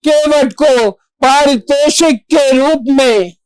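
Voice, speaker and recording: A high-pitched stage actor plays a devoted, reassuring monkey-god messenger in a theatrical monologue. The delivery is extremely slow and declamatory, with formal diction and measured pauses. The sound is dry and close. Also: a little mellow, no room sound, rough recording.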